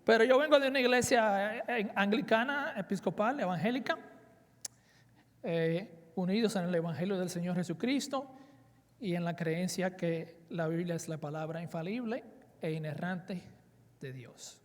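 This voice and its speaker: A man's voice: high-pitched, moderate pace. A man in his forties from the Dominican Republic with a Dominican Spanish accent, preaching earnestly at a conference and weaving in personal stories. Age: 40s. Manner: earnest, emphatic, with personal anecdotes. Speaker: conference speaker. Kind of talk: sermon. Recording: reverberant hall.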